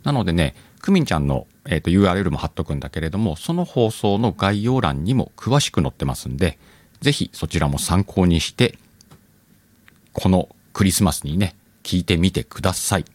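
A man's voice: sits low, expressive, fairly quick, no room sound. A man hosting a channel, chatting informally and addressing his listeners as he makes a casual announcement. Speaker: channel host.

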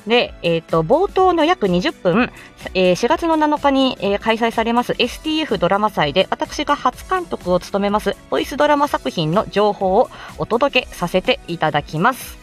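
A woman's voice: on the high side, very animated, normal speed, faint music behind. A woman hosting a casual solo audio show, giggly, self-deprecating and rambling through her announcements. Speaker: host of a morning audio show.

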